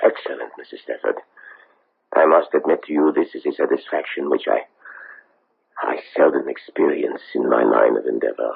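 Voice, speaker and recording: Very deep gravelly formal investigator. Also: male voice, exaggerated voice. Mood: coolly satisfied. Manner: formal, deliberate, probing. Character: male foreign Nazi hunter, persistent, formal, coolly insistent. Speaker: investigator seeking a war criminal's extradition. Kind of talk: 1970s radio drama acting. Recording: tinny, band-limited old radio broadcast.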